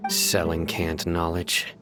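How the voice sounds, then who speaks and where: Stern investigator, low pitch, formal, measured, composed, video game dialogue, light background music. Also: male voice, completely flat delivery. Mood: firm. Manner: serious, measured, deliberate, formal.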